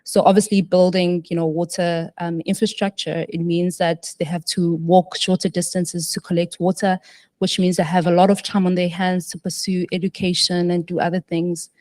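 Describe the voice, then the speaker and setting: A woman's voice: lowish voice, level tone, moderate pace, a little mellow. A woman answering as a panelist, hesitant, with many fillers and restarts.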